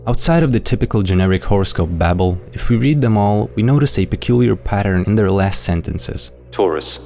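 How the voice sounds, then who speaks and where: A man's voice: low-pitched, gravelly. A man hosting and narrating a scripted analysis, explaining things directly to viewers and reading out quotes. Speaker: narrator and video host.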